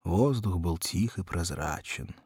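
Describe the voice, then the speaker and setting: A man reading literary narration for an audiobook in a measured storytelling manner. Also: low-pitched, animated, a little mellow, slightly rough, no echo, clean studio sound.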